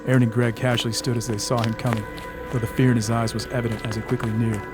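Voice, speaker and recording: A man's voice: medium pitch, level tone, fast. A man narrating a scripted audio drama in a suspenseful storytelling manner. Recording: music bed under the voice.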